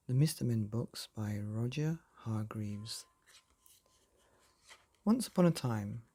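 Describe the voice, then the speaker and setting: A man narrating a children's bedtime storybook in a playful, expressive way, often putting questions to the listener. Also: medium pitch, breathy edge.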